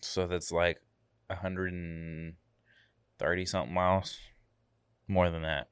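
A man's voice: sits low, flat delivery, measured pace, clear, resonant tone, no echo. A young man co-hosting a podcast in casual chat, giving short easygoing replies and calling his friend bro. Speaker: podcast co-host.

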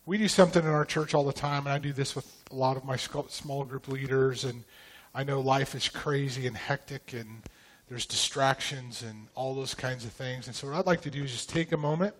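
A man from Idaho leading prayer and teaching at church, slightly raspy from a recent vocal cord infection, talking in a relaxed, loose way with many ums. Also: medium pitch, fairly quick, low-quality recording. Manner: relaxed, conversational, frequent ums and throat clearing. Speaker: pastor.

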